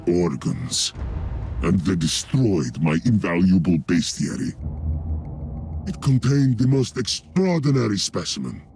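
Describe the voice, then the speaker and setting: A gravel-voiced alien torturer-genius, coldly arrogant. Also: male voice, heavily acted. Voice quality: deep, gravelly and drawn-out. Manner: condescending, grandiose. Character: sinister male alien torturer-scientist, arrogant and cold. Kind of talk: video game cutscene voice acting.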